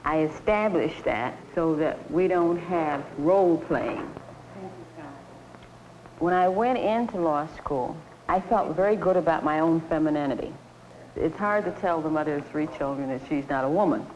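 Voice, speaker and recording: A woman's voice: low-pitched, normal speed, darkish tone, poor audio. A woman judge in her forties talking candidly in a documentary interview. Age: 40s. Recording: 1970s film sound with faint noise.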